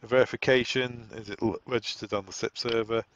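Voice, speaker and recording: A man giving an informal software setup walk-through, explaining conversationally and thinking aloud as he goes. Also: medium pitch, fairly even intonation, brisk. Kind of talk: informal software tutorial narration. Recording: dull, lo-fi, dry close recording.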